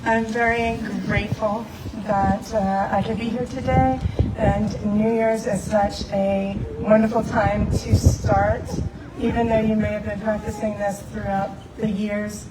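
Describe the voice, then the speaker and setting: A woman's voice: mid-range voice, little pitch movement, unhurried. A woman shares heartfelt, hesitant remarks at a community open mic. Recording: distant mic, roomy, background noise.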